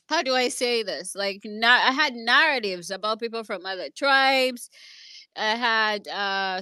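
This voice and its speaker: A woman's voice: a little high, sharp tone, smooth, no echo. A woman with a Kenyan accent telling a personal story in an online discussion, earnest and hesitant, with many restarts. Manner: earnest, speaks from her own experience. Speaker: speaker in an online audio discussion.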